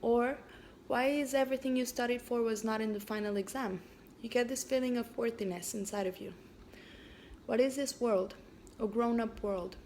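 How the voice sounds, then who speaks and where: A woman raised in Canada whose first language is French, introducing and then reading aloud her own story in a hesitant way, with frequent pauses and fillers. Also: fairly high pitch, darkish tone, slight background noise.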